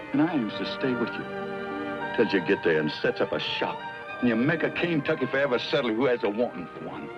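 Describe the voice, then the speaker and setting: An actor playing a gruff, stubborn frontier trail man in a western radio drama, with a rustic American dialect and emphatic delivery. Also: lowish voice. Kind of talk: old-time western radio drama acting. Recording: dull old radio recording with music.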